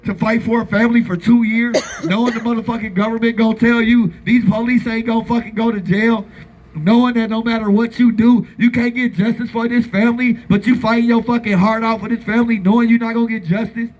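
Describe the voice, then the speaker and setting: A man's voice: very high-pitched, steady tone, a little fast, darkish tone, highs cut off. A man in his thirties giving a blunt, profane and impassioned speech at an outdoor rally, repeating phrases for emphasis. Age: 30s. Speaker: activist speaker at a rally. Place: outdoor gathering.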